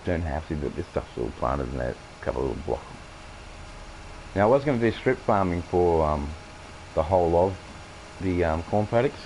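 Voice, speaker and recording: A man with an Australian accent, croaky from coughing, rambling through farming-game commentary with many halting fillers. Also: low-pitched, animated, darkish tone, a little hiss or hum, rough recording. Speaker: gameplay streamer.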